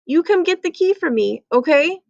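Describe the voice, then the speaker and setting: A woman voicing a busy storybook mother who is brisk and firm but indulgent. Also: high voice, animated, vocal fry, darkish tone, no room sound, highs cut off, voice pushed up.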